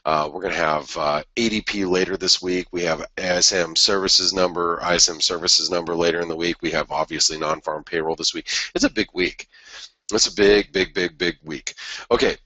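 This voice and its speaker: A man with a stuffy head cold and a raspy voice, hosting a trading webinar in a rambling, casual way with many restarts. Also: deep voice, steady tone, a little fast, pushed and bright, no echo. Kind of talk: casual market analysis talk.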